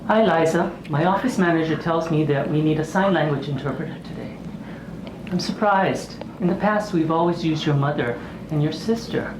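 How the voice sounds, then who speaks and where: Woman acting a low-voiced, skeptical doctor. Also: normal speed, natural voice. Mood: puzzled, reluctant. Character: skeptical, cost-minded female doctor. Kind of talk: acted dialogue in an educational video. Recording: echoey room with clear background noise.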